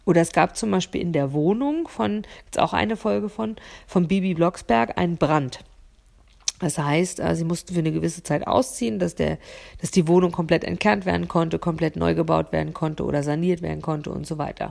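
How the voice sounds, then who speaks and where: A woman speaks in a fairly low voice with plenty of vocal fry. She hosts a solo podcast and addresses the listener directly and without a script. She pauses to find her words and doubles them up for emphasis. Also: fairly even intonation, darkish tone. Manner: hesitant, searching for words, repeating words for emphasis. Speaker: podcast host.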